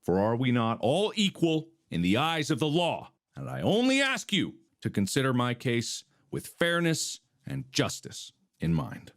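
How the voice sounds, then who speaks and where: A man's voice: medium pitch, big pitch swings, unhurried, vocal fry, hardly any pauses, a bit bright, exaggerated voice. Man playing a grandiose, theatrical defense lawyer. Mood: solemn, earnest. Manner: theatrical, solemn, pompous. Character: grandiose male defense lawyer pleading to a jury. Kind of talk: mock courtroom oration.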